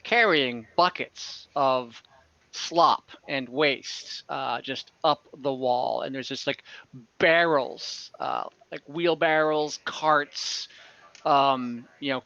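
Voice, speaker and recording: A man's voice: a little high, takes it slowly, a bit bright, clear, resonant tone, no echo. A man narrating as game master, describing a scene casually with many fillers and self-corrections. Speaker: dungeon master. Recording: slightly dull lo-fi online audio.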